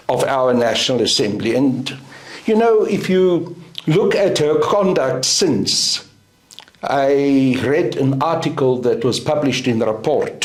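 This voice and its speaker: A middle-aged South African man with an Afrikaans first language speaks slowly and deliberately, leaning on each point. His voice is mid-pitched and recorded in a roomy, echoing space. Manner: deliberate, emphatic, measured. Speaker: guest. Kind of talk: interview answer. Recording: roomy echo.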